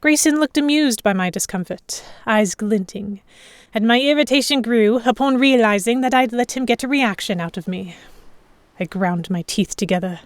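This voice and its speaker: A woman playing a stubborn, sharp-tongued captive of pirates, narrating an audio drama in the first person. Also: fairly high pitch, normal speed, no echo, natural voice. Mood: irritated.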